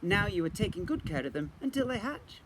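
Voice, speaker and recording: A man's voice: high-pitched, sing-song, brisk, background noise, pitched above the performer's own voice. A man voicing a friendly, encouraging seahorse father-to-be in a children's storybook. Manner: bright, encouraging greetings and praise.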